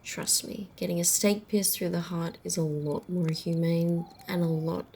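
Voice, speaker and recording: Slow, softly whispering ancient vampire woman, acted. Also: fairly low pitch, level tone, slight background noise. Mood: darkly amused menace. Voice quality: soft, breathy, often whispered. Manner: unhurried, amused, conversational. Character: centuries-old female vampire, wry, teasing, casually menacing. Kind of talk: ASMR roleplay storytelling.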